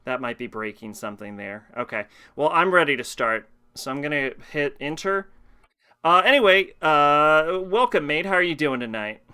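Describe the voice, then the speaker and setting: A man's voice: a little high, expressive, moderate pace, bright, forceful tone, smooth. A man streaming live, chatting casually and ramblingly with his viewers. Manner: chatty, rambling, talks to his chat and answers questions.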